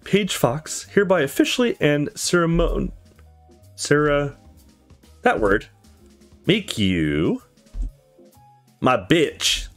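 Guy doing a drunk brash game girl, playful n pompous, voice goes high and all over. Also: big pitch swings, slow, pauses often, faint music behind. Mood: drunken playful. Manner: tipsy, mock-ceremonial, bold. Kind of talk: visual novel dialogue read aloud.

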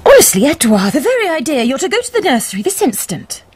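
Villainous stepmother, insincerely tender, coaxing, animated pitch, radio drama. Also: fast talker. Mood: scolding. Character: scheming stepmother, sugary, falsely caring, genteel.

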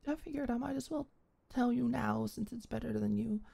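A young woman in an ASMR roleplay, talking shyly and stammering as a flustered neighbor, sometimes dropping to a soft whisper. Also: on the high side, a little fast, gravelly, slightly rough. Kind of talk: ASMR roleplay, one-sided dialogue.

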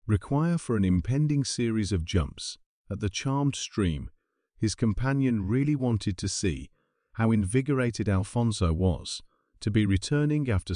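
A man reading a scripted documentary narration in a steady, even voice-over. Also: lowish voice, no room sound, clean studio sound. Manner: steady, even reading of garbled paraphrased text.

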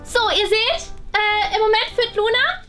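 Woman as bossy, very high-pitched schoolgirl. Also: lively intonation, a little slow, bright, forceful tone, soft background music, full character voice. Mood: businesslike. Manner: pushy, dramatic, emphatic. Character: bossy, excitable, fashion-proud schoolgirl best friend. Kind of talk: children's toy-play school story.

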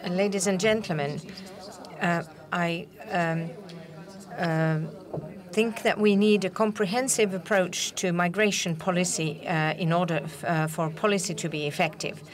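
A woman's voice: on the low side, steady tone, unhurried. A woman interpreting a conference speech simultaneously, with frequent hesitations and filler sounds as she renders it.

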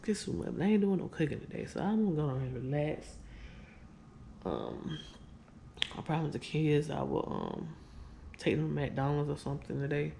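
Woman, 30s, gravelly, chatty and weary, close handheld camera, living room. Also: deep voice, huge pitch swings, moderate pace, pauses often, dark tone, slight background noise. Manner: chatty, tired, addresses viewers as y'all. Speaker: vlogger. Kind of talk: casual chat to viewers. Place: living room, on couch, indoors. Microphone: handheld camera at close range.

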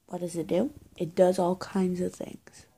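A young woman plays a friendly teenage good witch in a homemade roleplay. Her voice is husky and quite creaky, with a mellow, muted tone. She talks casually and haltingly, straight to the listener, often sinking to a near-whisper. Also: fairly low pitch, little pitch movement. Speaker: roleplay video creator. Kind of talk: soft-spoken improvised roleplay, addressing the viewer. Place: small indoor room, plain walls. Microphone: phone or webcam at arm's length.

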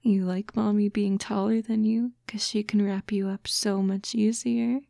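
A doting, protective fox-girl girlfriend, played by a woman, coaxes and gently teases in an intimate ASMR roleplay. Her voice is soft and breathy, with a gentle, even melody and a touch of creak. She speaks very slowly, close to the mic. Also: medium pitch, no echo. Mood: affectionate, teasing. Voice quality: soft, breathy, often whispering. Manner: slow, coaxing, cooing. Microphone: close, dry microphone.

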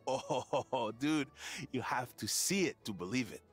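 Young dude shopkeeper, easygoing, enthusiastic, lively pitch, video game, faint music. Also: male voice, unhurried, slightly rough. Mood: laid-back, enthusiastic. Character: laid-back young surfer-dude shopkeeper man, mellow, enthusiastic. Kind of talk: cozy video game dialogue.

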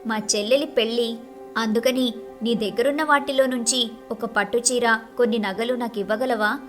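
A woman playing an envious, grumbling young married woman in an animated moral story, with an expressive, dramatic delivery. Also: a little high, normal speed, soft background music, close to an everyday voice. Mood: coaxing. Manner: expressive, dramatic complaining and wheedling.

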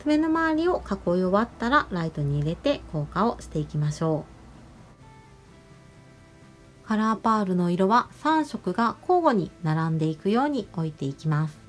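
A woman nail artist narrating a tutorial voice-over, explaining each step politely and giving gentle advice. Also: mid-range voice, very animated, a little fast. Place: voice-over over close-up salon footage. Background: music.

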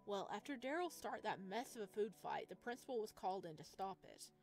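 A woman voicing a reserved, considerate young man in a visual novel, in a measured, matter-of-fact way. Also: mid-range voice, animated, a little mellow, soft background music, natural voice. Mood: calm concern.

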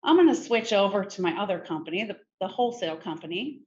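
A middle-aged woman presenting a software demo in a conversational, informal step-by-step tutorial style. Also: mid-range voice, animated, quick pace. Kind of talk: conversational screen-share tutorial. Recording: muffled, noisy screen-recording audio.

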